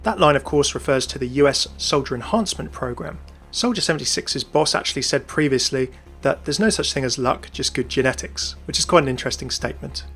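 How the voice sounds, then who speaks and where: A man's voice: medium pitch, fairly quick, fairly bright tone, breathy edge, faint music behind. A man presenting scripted video game lore commentary in a chatty, enthusiastic manner.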